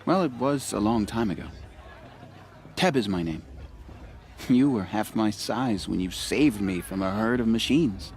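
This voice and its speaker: A man's voice: on the low side, animated, a little slow, a little mellow, slight background noise. Friendly, humble young man. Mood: friendly, earnest. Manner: friendly, earnest, self-deprecating. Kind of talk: video game voice acting.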